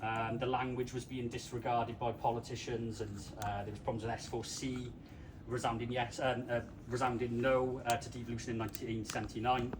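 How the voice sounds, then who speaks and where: A man's voice: medium pitch, level tone, a little fast. A man from Wales, an author hosting a book launch panel, talking casually to a live audience with plenty of ums and restarts. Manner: chatty, lots of ums and restarts. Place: bookshop event room. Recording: distant mic with room noise.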